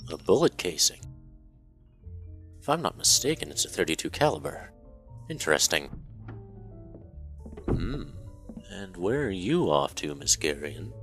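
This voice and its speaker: Actor as a deep-voiced, polite sleuth, curious and measured. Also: male voice, a bit bright, exaggerated voice. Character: calm, curious amateur detective.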